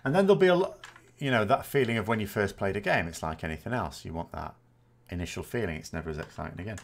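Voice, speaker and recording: A man's voice: lowish voice, lively intonation, fast, creaky. A man, chatting casually and rambling as a gaming host answering viewer questions, often correcting himself with dry self-mockery. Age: 40s.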